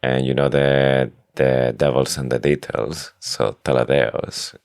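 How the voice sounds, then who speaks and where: Very deep-pitched woman podcast co-host. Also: steady tone, takes it slowly, darkish tone, clean tone. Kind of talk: casual book discussion podcast.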